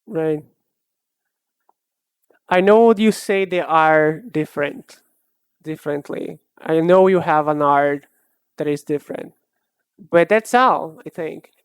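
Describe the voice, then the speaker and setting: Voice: mid-range voice, slow, pauses often, clear, resonant tone, dry recording, studio quality. A streamer from Brazil who speaks with a Brazilian accent and has Portuguese as a first language. They chat casually with a live chat, musing and hesitant, often restarting their sentences. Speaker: art streamer.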